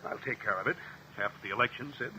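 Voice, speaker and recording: An actor playing a blustering, corrupt local politician who sounds cornered and exasperated, in a vintage radio crime drama. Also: male voice, medium pitch, animated, fast talker, bright, forceful tone. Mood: defensive, angry. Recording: noisy old radio drama recording.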